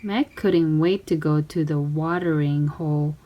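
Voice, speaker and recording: A woman's voice: sits low, fairly even intonation, unhurried, lo-fi sound. A woman reading a children's story aloud, expressively acting out characters and sound words. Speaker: storybook reader.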